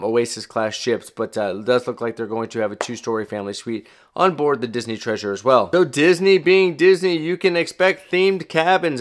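A man's voice: mid-range voice, smooth. A middle-aged man with an ordinary mid-range voice talks to his viewers as a travel vlogger. His delivery is casual and chatty, like someone explaining things to friends, and he is happy to poke a little fun at himself.

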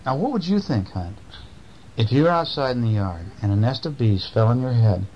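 Middle aged dad doing a bedtime story for kids, really up and down voice, bit of hiss. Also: male voice, medium pitch, expressive, a little fast, dull audio. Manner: playful, repeats and checks with listeners. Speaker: father reading bedtime stories to children. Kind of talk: improvised bedtime storytelling with chat to children. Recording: faint noise, homemade recording.

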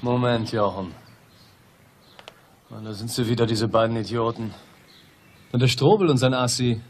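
A man's voice: medium pitch, moderate pace, a little hiss or hum, close to an everyday voice. An actor playing an arrogant, irritated male builder under suspicion in a German radio crime drama. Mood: annoyed, urgent. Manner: irritated, haughty. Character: indignant, arrogant male builder suspected of murder.

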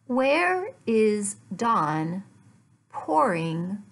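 A middle-aged woman, an English teacher, giving a lesson in deliberate, word-by-word phrases with repeated questions and answers. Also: medium pitch, big pitch swings, vocal fry. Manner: deliberate, word-by-word enunciation for learners. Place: small furnished room at home.

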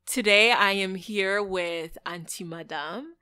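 A woman's voice: mid-range voice, slow, pushed and bright, clean tone, dry recording, faint music behind. A woman hosting a podcast, introducing her guest in an enthusiastic, gushing way. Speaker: podcast host. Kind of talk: casual podcast intro and interview.

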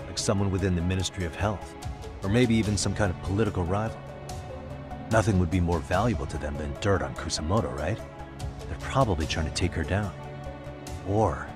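An actor playing a cool, serious detective in a video game drama, probing and reasoning aloud. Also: male voice, deep voice, brisk, pauses often, over music, close to an everyday voice. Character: cool, sharp-minded young male detective, probing and serious.

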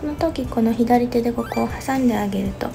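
A young woman with a slightly high voice talks through a hair styling tutorial in gentle, step-by-step instructions. She is a hairstylist in her twenties, and clear background music runs under her voice-over. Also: fairly quick. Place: small bright studio indoors.

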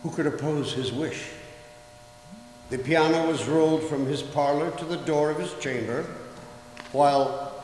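An older man in his seventies, a Polish speaker too, giving a measured, theatrical formal reading on stage. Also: fairly high pitch, a little mellow. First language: English and Polish, Polish first language. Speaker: actor and reader in a recital. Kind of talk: formal dramatic reading of letters. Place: concert stage in a hall. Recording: echoey hall with audience noise.

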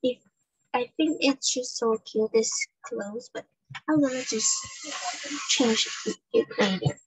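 Woman game streamer, high voice, very slow drawl, chatty, faint clicks behind. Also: breathy edge, no echo, poor audio. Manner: chatty, thinks aloud with many ums, soft and unhurried. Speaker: gaming channel host. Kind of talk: casual gameplay commentary.

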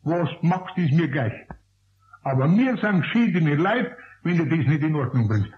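A man playing a grumpy older craftsman in a radio play, gruff and dry, with a Bavarian accent. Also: a little high, animated, moderate pace, close to an everyday voice. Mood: stern. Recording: dull, echoey old radio play recording.

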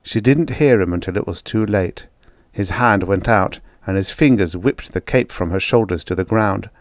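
A man with a low voice narrates an old short story in a dramatic storytelling manner. The sound is dry, without room echo, and the delivery is that of a scripted audiobook. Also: moderate pace.

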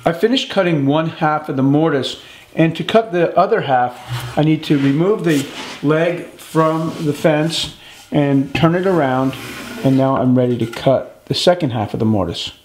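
A man in his thirties calmly walking through the steps of a woodworking project as a channel host, recorded in his workshop. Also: on the high side, moderate pace, slight background noise. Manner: calm step-by-step explaining. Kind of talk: instructional workshop narration. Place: woodworking shop, indoors. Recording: echoey workshop room.

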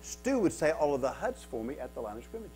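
A man's voice: fairly high pitch, big pitch swings, quick pace, a little mellow. A man in his fifties, from Kansas, speaks to a men's retreat in a wood-paneled church hall. He stutters openly, repeating words and filling gaps with "uh" while joking about it. A light mains hum and faint music sit under his lapel mic. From Kansas, USA. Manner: stutters, with many repeats and fillers, self-mocking humor. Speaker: guest speaker. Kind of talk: storytelling talk to a men's retreat.

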